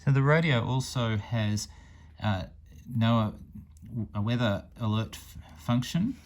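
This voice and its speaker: A man's voice: medium pitch, slow. A man giving a casual, plain-spoken hands-on product demonstration as a hobbyist reviewer. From Australia.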